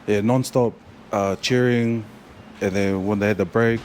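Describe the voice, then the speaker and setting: Deep-voiced New Zealand man, rambling. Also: fairly even intonation, darkish tone, a little hiss or hum. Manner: rambling, halting, many fillers and restarts. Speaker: rugby player guest. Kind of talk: casual interview chat.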